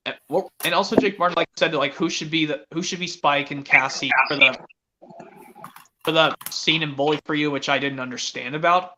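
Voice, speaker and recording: A stream host speaks in a bright, forward tone, stopping and restarting sentences as thoughts shift. A faint hiss sits under the voice. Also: mid-range voice, steady tone. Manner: halting, many restarts. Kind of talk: casual video-call chat.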